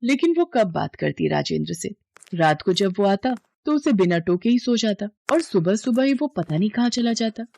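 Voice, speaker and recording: A woman's voice: mid-range voice, lively intonation, normal speed, creaky, dark tone, slight background noise. A woman reading a scripted dramatic story as its narrator.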